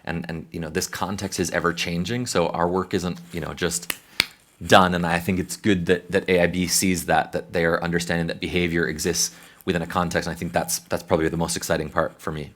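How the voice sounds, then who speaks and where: American male consultant, very low voice, thoughtful panel talk with restarts. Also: flat delivery, fairly quick, a bit bright, a little hiss or hum. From United States. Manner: thoughtful, hesitant restarts, conversational. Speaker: behavioral science consultant.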